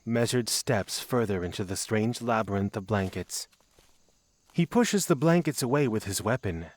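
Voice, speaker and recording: A man's voice: fairly low pitch, a little mellow, no echo. A man reading scripted narration for a fantasy audio drama.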